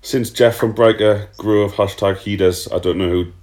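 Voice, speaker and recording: Low-voiced man hosting. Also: steady tone, moderate pace, a little mellow. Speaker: podcast host. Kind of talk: casual football discussion.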